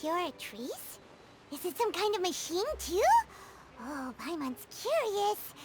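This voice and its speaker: A woman voicing a small, curious, excitable fairy companion in a video game, with a squeaky childlike voice. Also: takes it slowly, heavy background noise, full character voice. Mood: curious, surprised. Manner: refers to herself in third person. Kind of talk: animated game dialogue. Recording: loud music behind.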